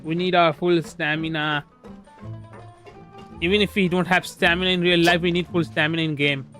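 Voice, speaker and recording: A man's voice: a little high, fairly even intonation, moderate pace, bright, forceful tone. A man livestreaming, giving casual commentary on a video game. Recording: faint music behind the voice.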